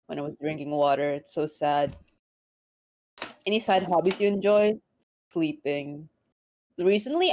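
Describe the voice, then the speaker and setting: A woman's voice: deep voice, darkish tone. A woman streaming casually, teasing her guest and chatting with her viewers in a laid-back way.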